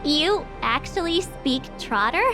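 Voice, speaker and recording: A woman's voice: high-pitched, animated, takes it slowly. A woman playing a perky, curious teenage girl adventurer in a video game, casual and exclamatory. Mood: playful, curious. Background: music.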